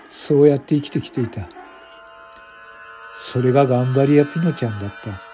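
A man's voice: fairly high pitch, mellow. A man giving scripted first-person narration for a radio drama in a brooding, solemn manner.

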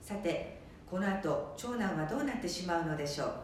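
A woman's voice: on the low side, animated, a little fast. A woman narrating an amateur radio drama as its presenter. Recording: echoey room, distant mic, faint noise.

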